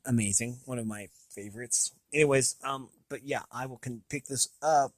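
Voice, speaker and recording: An American man hosting a casual, unscripted review, thinking aloud in a halting, rambling way with a slightly raspy voice. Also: medium pitch, dry recording. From United States. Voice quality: slightly raspy from long talking. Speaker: video host.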